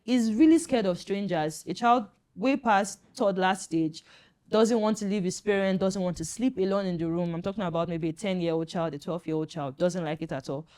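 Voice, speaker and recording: A woman doctor on a panel, calmly explaining childhood anxiety to parents in an earnest, reassuring way, with frequent fillers. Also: on the low side. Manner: earnest and reassuring, with many "you know" fillers. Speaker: pediatric doctor and guest.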